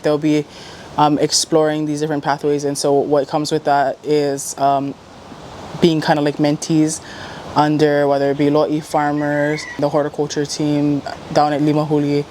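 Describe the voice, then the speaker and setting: A woman speaks in a flat, even tone, casually explaining things in an interview. There is faint ambient noise behind her voice. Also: low voice, moderate pace.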